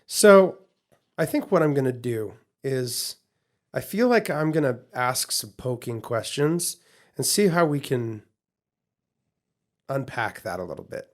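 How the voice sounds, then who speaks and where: A man's voice: mid-range voice, very animated, unhurried, pauses often. A man co-hosting a podcast in casual chat, rambling and restarting his sentences with repeated words. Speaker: podcast co-host.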